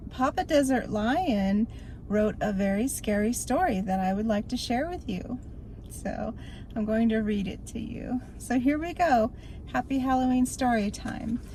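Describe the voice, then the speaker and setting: An older woman reading a spooky story aloud in an expressive, theatrical storytelling manner. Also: fairly high pitch, unhurried, slight background noise, low-quality recording.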